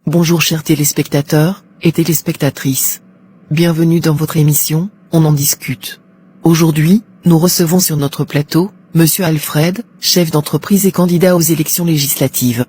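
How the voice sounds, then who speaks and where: A woman's voice: low voice, fairly even intonation, normal speed, mellow, slightly rough. An actress playing a polite, probing female talk show presenter in a cartoon, formal and composed. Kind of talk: scripted broadcast interview in a cartoon.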